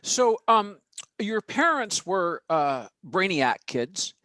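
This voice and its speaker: A man hosting an interview podcast, chatty and quick to laugh. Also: sits high, sing-song, pushed and bright, no room sound. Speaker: podcast host.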